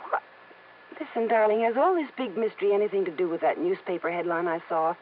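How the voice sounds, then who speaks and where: A woman's voice: mid-range voice, fast talker, close to an everyday voice. An actress playing a poised, teasing young working woman with coy, bantering delivery in a 1950s radio drama. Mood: curious, puzzled. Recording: muffled band-limited old radio, faint noise.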